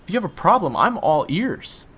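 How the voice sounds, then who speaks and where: A man voicing a hot-headed young boyfriend who shouts insults and picks a fight. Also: high voice, sing-song, moderate pace, slight background noise, pitched above the performer's own voice. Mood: aggressive. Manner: aggressive, loud, insulting.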